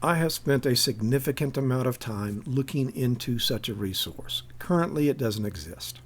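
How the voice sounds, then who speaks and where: A man's voice: mid-range voice, a little mellow. An American man giving critical spoken commentary in an emphatic, insistent, argumentative way. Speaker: activist commentator.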